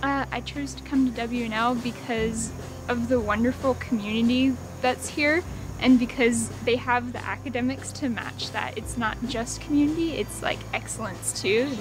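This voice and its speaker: Young woman from Oregon, somewhat high voice, casual student, background music. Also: moderate pace. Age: young adult. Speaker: college student. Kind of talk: casual testimonial to camera. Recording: music bed behind the voice.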